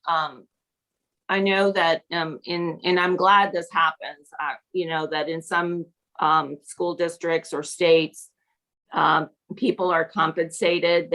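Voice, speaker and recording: A woman from Peru with a somewhat low voice speaks as a guest teacher on a podcast. She talks in a halting way, stopping and restarting her sentences and filling gaps with "um.". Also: a bit bright, poor audio. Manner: halting, with many fillers and restarts. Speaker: National Board certified teacher and mentor. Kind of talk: conversational podcast interview.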